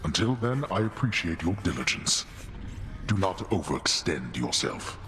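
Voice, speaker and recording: A man's voice: sits low, sing-song, normal speed, a bit bright. A man voicing a stern, pompous old underworld god in a video game, speaking in formal, archaic phrases. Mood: gruffly magnanimous. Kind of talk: dramatic video game voice acting.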